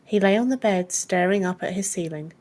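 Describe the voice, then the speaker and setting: A woman's voice: mid-range voice, fairly quick, a little mellow. A woman reading scripted fiction as an audiobook narrator.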